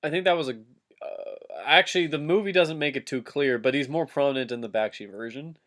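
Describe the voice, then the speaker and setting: A man's voice: a little high, fairly quick, fairly bright tone. A man chatting casually and comically as a co-host reacting to a reading.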